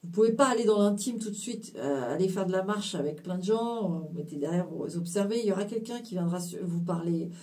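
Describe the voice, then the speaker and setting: Woman doing a tarot reading for viewers, lots of euh and restarts, low mellow voice, kinda far from the mic. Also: expressive. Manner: halting, full of fillers and restarts, mixes in English phrases. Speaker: tarot card reader. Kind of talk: casual spiritual card reading to viewers.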